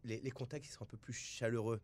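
A man from France, a studio guest in a casual discussion, stumbling over words and getting lively when quoting others. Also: mid-range voice, fast talker.

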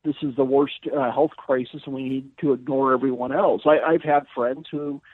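A man's voice: medium pitch, steady tone, moderate pace, no echo. A man from Michigan's Upper Peninsula, a guest commentator joining by phone, talking with dry, self-deprecating humor. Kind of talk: phone-in interview. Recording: tinny phone line, dry.

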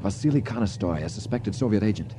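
A man plays a businesslike FBI agent in an old radio thriller. He talks fast in a lowish voice, clipped and matter-of-fact, with faint noise on the recording. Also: animated, close to an everyday voice. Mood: urgent warning. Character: businesslike male FBI agent handling an informant. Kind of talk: 1950s radio drama acting. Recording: slightly noisy 1950s radio recording.

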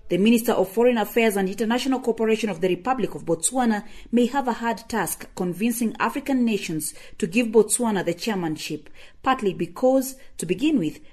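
A woman radio correspondent delivering a measured news report. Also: mid-range voice, a little mellow.

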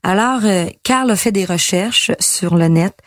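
A woman's voice: medium pitch, a little hiss or hum. Candid Québécois woman recalling her past. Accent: Québécois French. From Quebec. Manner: candid, halting with frequent fillers. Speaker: country singer. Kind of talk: personal storytelling.

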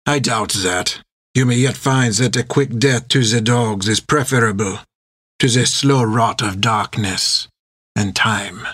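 A wiry, gray-haired French sea captain from an adventure audiobook. He speaks slowly with a French accent, savoring his words with mock courtesy and cold menace. The sound is close and dry. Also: on the low side, a bit bright, breathy edge, no room sound. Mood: sinister relish. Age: older.

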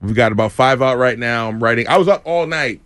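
A man from Boston, a comedian guest on a morning radio show, telling stories in an animated way and laughing often. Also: medium pitch, fairly quick, clean tone, no echo, clean studio sound. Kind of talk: casual radio chat.

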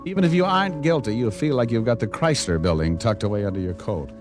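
An actor playing a cocky, sardonic American private detective in an old-time radio drama, breezy and wisecracking. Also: male voice, on the low side, animated, quick pace, lightly acted. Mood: wry narration. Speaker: radio drama lead and narrator. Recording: vintage radio recording with music.

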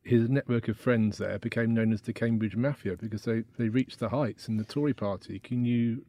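A man's voice: medium pitch, fairly even intonation, normal speed, warm tone, no room sound. A man, a journalist interviewing his guest with direct, prompting questions.

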